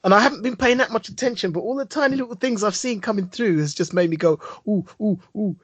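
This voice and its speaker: A man's voice: sits high, quick pace, fairly bright tone, slightly rough, no echo. A man co-hosting a podcast in casual chat, enthusiastic and rambling, with some teasing. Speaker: podcast co-host.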